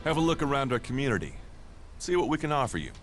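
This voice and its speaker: An actor playing a friendly, watchful warrior guide in a video game, advising in a plain-spoken way. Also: male voice, mid-range voice, sing-song, quick pace, music in the background, lightly acted. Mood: advising, cautionary.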